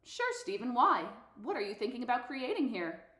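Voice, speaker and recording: A woman's voice: on the high side, very animated, moderate pace, a bit bright, echoey room. A woman voicing a fussy, gushing female English teacher in a novel read-aloud, chirpy and instructive. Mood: curious.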